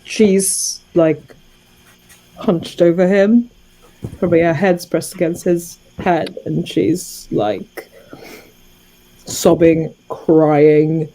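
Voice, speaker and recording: A woman's voice: fairly low pitch, fairly even intonation, extremely slow, long pauses, mellow, poor audio. A woman improvising narration of her character's actions as a tabletop player, hesitantly piecing the scene together.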